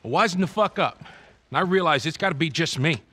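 An American man playing a gruff, sarcastic, paranoid middle-aged survivalist loner in a cinematic video game. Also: a little high, lively intonation, quick pace, sharp tone, a little hiss or hum. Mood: bitter, cynical. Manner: gruff, foul-mouthed, sarcastic. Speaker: game character actor.

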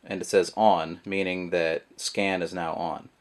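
Deep-voiced guy casually walking through a gadget demo, lots of ums and uhs, camera mic. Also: unhurried. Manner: relaxed, rambling with many fillers. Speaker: product reviewer. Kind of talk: casual how-to demonstration. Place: indoors at a table, voice behind the camera.

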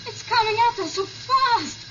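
A woman's voice: very high-pitched, expressive, unhurried. An actress playing a frightened, awestruck young woman in an old-time radio drama, on a muffled, noisy vintage broadcast. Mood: alarmed, awestruck.

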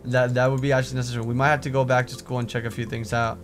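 A man's voice: mid-range voice, little pitch movement, rapid-fire, soft background music. A man hosting a game series, chatting casually through Minecraft roleplay. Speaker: host of a gaming series.

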